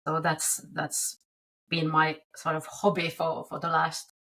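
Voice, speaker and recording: A woman's voice: low-pitched, completely flat delivery, a little slow, a bit bright. A woman from Finland, a podcast guest talking casually over a video call, chatty and full of restarts and fillers. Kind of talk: casual interview talk.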